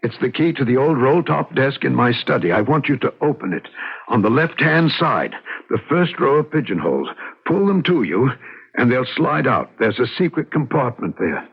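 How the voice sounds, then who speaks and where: A man's voice: medium pitch, very animated, moderate pace, creaky, slightly rough, heavily acted. An actor playing a frightened, desperate husband who narrates his own horror story in a dramatic radio-drama style. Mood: anxious pleading. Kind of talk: dramatic first-person radio drama acting. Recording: dull, noisy old radio recording.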